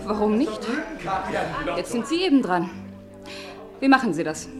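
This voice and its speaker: An actress in a radio play, playing a bored, mocking young heiress with a scornful, imperious manner. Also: female voice, medium pitch, big pitch swings, unhurried, music in the background.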